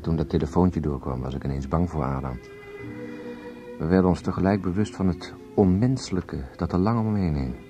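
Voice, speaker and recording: An actor playing a hesitant, attentive confidant in a Dutch radio play. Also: male voice, sits low, fairly quick, natural voice. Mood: grave. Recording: dull radio recording, music behind.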